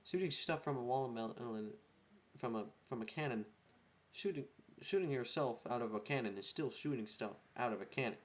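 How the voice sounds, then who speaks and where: A man's voice: medium pitch, brisk. Young adult man, amateur story reader, casual, offhand, self-deprecating, stumbling delivery. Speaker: amateur fan fiction reader.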